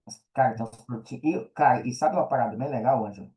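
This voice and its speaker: A man's voice: medium pitch, rapid-fire, dark tone, muffled recording. A man with a Brazilian accent in a casual podcast chat, talking in a slangy, conversational way and repeating words for emphasis.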